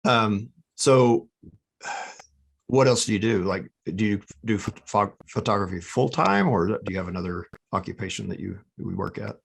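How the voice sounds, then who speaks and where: Man in his 30s, lowish voice, relaxed friendly host at a home studio mic. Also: moderate pace, creaky, slightly rough. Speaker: host, photography channel. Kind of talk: interview host, casual chat. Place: home office. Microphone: studio mic in front of the speaker.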